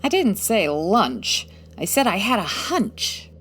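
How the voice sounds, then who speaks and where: A brisk, bossy private eye in a comic forties radio play, played by a woman. She gives orders confidently, with swooping, lively intonation. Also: mid-range voice, slight background noise. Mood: confident scheming. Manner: take-charge, commanding. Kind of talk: comedic 1940s radio detective acting.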